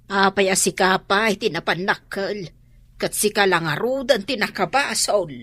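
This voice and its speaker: A woman's voice: mid-range voice, unhurried, a bit bright, dry recording. A woman playing an exasperated, nagging mother in an Ilocano radio drama. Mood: reproachful. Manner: nagging, reproachful. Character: exasperated scolding mother of a gambling grown daughter.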